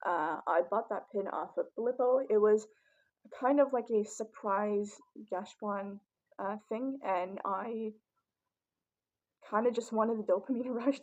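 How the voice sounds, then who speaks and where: A young woman with a raspy voice, chatting casually and rambling with many ums as she shows off her collection. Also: mid-range voice, darkish tone, poor audio. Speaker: vlogger. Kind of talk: casual collection show-and-tell.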